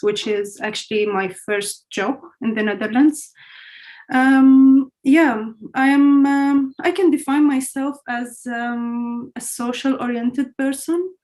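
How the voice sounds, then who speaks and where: A woman's voice: a little high, a little mellow. Young Syrian-born woman, chatty English. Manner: chatty, non-native phrasing, many fillers. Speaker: guest, front-end engineer. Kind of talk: casual interview answers.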